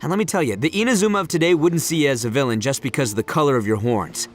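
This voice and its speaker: A man's voice: mid-range voice, rapid-fire, close to an everyday voice. A man voicing a brash, big-hearted young oni gang leader in a video game, in a boisterous, slangy, easygoing manner. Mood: sincere. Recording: music under the voice.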